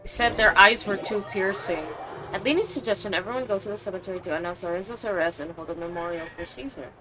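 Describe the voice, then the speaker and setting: A woman's voice: mid-range voice, fast talker, over music, poor audio. A woman streamer giving casual gameplay commentary, musing to herself. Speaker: game streamer. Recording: faint background noise and game ambience.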